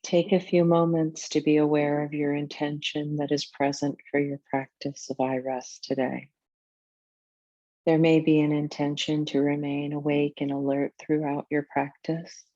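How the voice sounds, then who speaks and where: A woman teaching yoga nidra, narrating a guided meditation in a soothing, deliberate way with measured pauses. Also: deep voice, mellow, no room sound, muffled recording.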